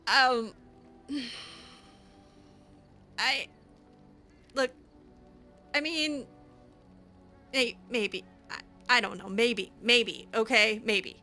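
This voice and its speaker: A woman roleplays aloud over a video game in a bright voice. She speaks slowly and hesitantly, often trailing off, with music playing behind her. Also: fairly high pitch. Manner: hesitant, conversational, frequent trailing off.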